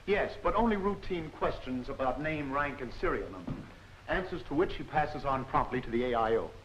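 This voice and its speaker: A man's voice: medium pitch, expressive, fairly quick, a bit bright, close to an everyday voice. A man playing a no-nonsense army captain who lectures firmly and precisely in a 1940s training film. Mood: firm, instructive. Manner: firm, matter-of-fact, precise. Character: brisk, no-nonsense male army captain instructor. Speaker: military instructor. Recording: dull, hissy old film soundtrack.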